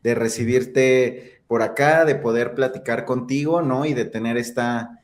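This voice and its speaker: A man's voice: medium pitch, fairly even intonation, a little slow. Upbeat Mexican man podcast host. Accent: Mexican Spanish. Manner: upbeat, chatty, many fillers like "este". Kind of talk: podcast hosting.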